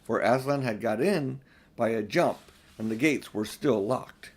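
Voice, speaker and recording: A man's voice: medium pitch, a little slow, darkish tone, breathy edge. An older man narrating a children's storybook as an audiobook reader.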